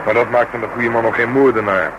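An actor playing a gruff, sardonic police detective in a radio drama, grumbling drily. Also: male voice, medium pitch, steady tone, fast, dull audio, close to an everyday voice. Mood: dry, wry. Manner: dry, grumbling, wry. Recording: noisy old radio drama recording.

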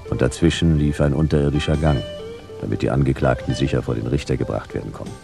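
A man playing a world-weary private detective in a noir sci-fi radio play, terse, dry and deadpan. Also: rumbling low, gravelly. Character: world-weary, laconic hard-boiled male private detective.

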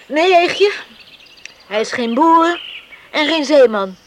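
An actress playing a sharp-tongued, scornful young island woman in a radio play, tart and dismissive. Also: sits high, animated, slow, a little hiss or hum. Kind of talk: Dutch radio play acting.

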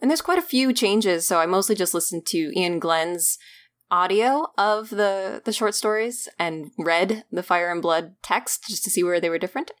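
Chatty woman, slightly low voice. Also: moderate pace. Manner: chatty, laughs often, trails off mid-sentence. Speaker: podcast co-host. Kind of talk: casual book discussion.

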